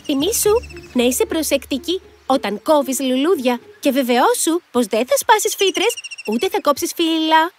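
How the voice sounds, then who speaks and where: Timid young heroine, high and lively, careful and hesitant. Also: female voice. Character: gentle young woman who turns into a tree. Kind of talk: Greek cartoon dub acting.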